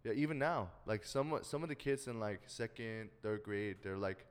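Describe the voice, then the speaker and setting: A man's voice: lowish voice, moderate pace, clear, resonant tone, long reverb tail. A young man co-hosting a casual podcast chat, slangy and full of fillers, with lots of "dude" and "you know what I mean.". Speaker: podcast co-host.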